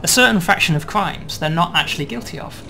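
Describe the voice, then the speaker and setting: A man demonstrating and explaining a game build in a relaxed way, checking in with "right?" as he goes. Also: sits high, brisk, creaky, background noise. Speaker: game developer demoing.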